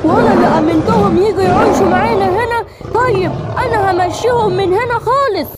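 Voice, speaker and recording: Woman acting a cartoon lion king, Egyptian accent, very high pitch, stern, commanding, loud music behind. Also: fast talker, over a noisy crowd. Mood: angry. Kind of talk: children's cartoon storytelling.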